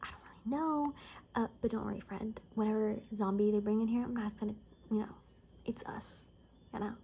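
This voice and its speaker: Casting: a woman to play a chatty apocalypse confidante for ASMR roleplay. Mellow, soft, breathy voice sliding into whispers, loose rambling delivery, slight ambient noise. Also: medium pitch, brisk, close to an everyday voice. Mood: conspiratorial, gossipy. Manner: rambling, casual, gossipy, full of filler words. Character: chatty young woman in zombie apocalypse compound, gossipy friend.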